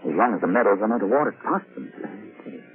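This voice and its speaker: An actor playing a dry, uneasy, wondering expedition scientist in an old-time radio drama. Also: male voice, on the low side, expressive, fairly quick, close to an everyday voice. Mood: wry, uneasy. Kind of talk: 1950s radio drama acting. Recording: tinny old radio recording, music.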